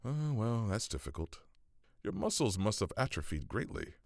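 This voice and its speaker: An actor playing a probing noble visitor in an audio drama, drily condescending and curious. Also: male voice, lowish voice, sing-song, moderate pace, gravelly, darkish tone, no room sound, clean studio sound. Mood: dry curiosity.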